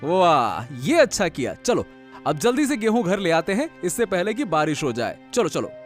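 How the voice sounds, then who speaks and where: Cartoon farmer played by a man: expressive, exclamatory, swinging intonation, music underneath. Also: sits high, moderate pace. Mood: urgent. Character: two farmer brothers, one anxious, one carefree. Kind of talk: animated Hindi cartoon dialogue.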